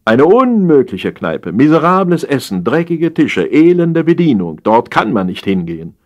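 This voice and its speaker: A man's voice: mid-range voice, sing-song, normal speed, pitched above the performer's own voice. A man playing an opinionated café regular in a satirical story, explaining things slyly and pompously with emphasis. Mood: scornful. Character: opinionated male café regular, slyly lecturing his friend.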